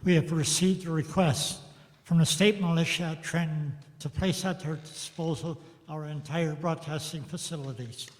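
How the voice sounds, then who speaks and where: A man's voice: on the high side, steady tone, normal speed, lightly acted. A man playing a broadcasting executive giving a formal official statement in a live radio drama. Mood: solemn. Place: live performance in a church. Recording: reverberant church hall live performance.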